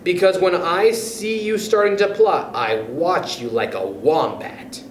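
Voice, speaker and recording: A man's voice: on the high side, measured pace, echoey room, slight background noise, pitched above the performer's own voice, close to an everyday voice. A man voicing a spiteful old hag of a wife from a children's storybook, in a dramatic way. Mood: menacing. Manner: shrieking, dramatic.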